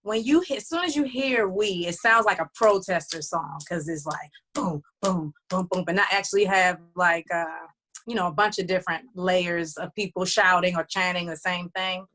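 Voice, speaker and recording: A woman's voice: fairly low pitch, moderate pace, a bit bright. A woman recording artist who also runs a label, talking loosely and chattily in a casual interview, with many fillers and restarts.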